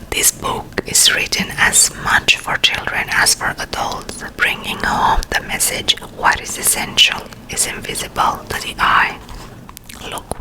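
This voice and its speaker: A woman whispering close to the mic in an ASMR video, chatting in rambling asides and reading aloud. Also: poor audio. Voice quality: soft unvoiced whisper. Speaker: ASMR creator. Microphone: close to a sensitive mic.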